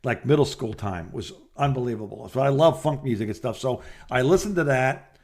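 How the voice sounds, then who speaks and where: A man's voice: medium pitch, animated, a little fast, darkish tone. Middle-aged man rambling through casual stories. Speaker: podcast co-host. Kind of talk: casual phone chat, storytelling.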